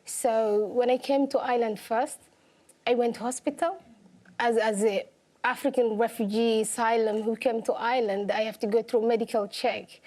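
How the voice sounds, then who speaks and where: Young woman, earnest, simple phrasing, slightly high voice. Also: mellow. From Somalia. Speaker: guest, activist. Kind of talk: interview. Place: television studio.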